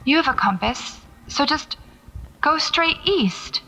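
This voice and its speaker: A woman's voice: sits high, lively intonation, takes it slowly, pushed and bright, full character voice, rough recording. A woman acting as a wry, stubborn paranormal investigator in an audio drama, talking to herself as she records field notes. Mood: unnerved. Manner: muttering to herself, sardonic, self-coaching.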